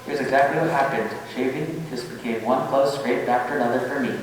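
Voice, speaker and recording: A man's voice: medium pitch, a little fast, close to an everyday voice. A man playing a satisfied customer in a scripted radio advertisement testimonial. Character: satisfied male customer giving a shaving testimonial. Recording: echoey hall from far off, faint hum.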